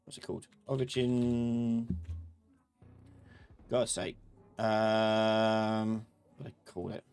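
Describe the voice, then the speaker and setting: A man hosting a casual live software tutorial, thinking aloud and muttering or humming to himself as he works. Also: on the low side, level tone, slow, clear, resonant tone. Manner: informal, muttering and humming while working. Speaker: host, software instructor.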